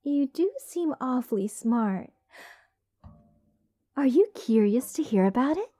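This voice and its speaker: A woman playing an eccentric, curse-loving mage in an ASMR fantasy roleplay, soft and soothing, sweetly rambling with wry endearments. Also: high-pitched, lively intonation, slow pace, exaggerated voice. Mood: wry, musing.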